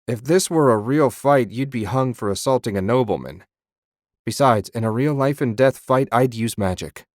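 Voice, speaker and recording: A man's voice: mid-range voice, expressive, moderate pace, darkish tone, dry recording, clean studio sound, voice pushed up, lightly acted. A man voicing a sardonic, smug young nobleman who is a wizard in an audiobook.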